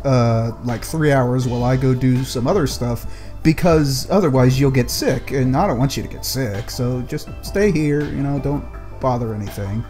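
Male podcast panelist, average pitch, informal delivery, soft music behind. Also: normal speed. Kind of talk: casual discussion.

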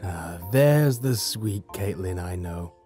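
Mellow, charming teen boy. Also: sits low, expressive, slow, soft background music. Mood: kindly, amused. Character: charming, gently teasing popular high school boy. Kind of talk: animated roleplay voice acting.

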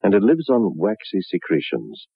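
Grave male narrator for a macabre 1960s radio tale: deep, measured, dry narrow-band sound. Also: sing-song, gravelly, breathy edge, dry recording, heavily acted. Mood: grave, ominous. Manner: measured, ominous storytelling. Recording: muffled narrow-band old radio recording.

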